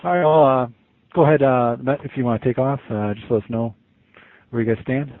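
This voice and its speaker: A man leading an informal work conference call over the telephone, addressing colleagues briskly. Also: medium pitch, a little hiss or hum. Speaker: meeting leader. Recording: tinny, narrow phone line.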